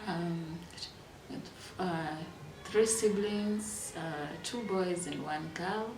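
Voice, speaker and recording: A woman's voice: lowish voice, recorded from a distance, music in the background. Kenyan woman recalling her life, echoey room. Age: 30s. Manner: reflective, unhurried, with many fillers and restarts. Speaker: interviewee. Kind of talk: personal life story.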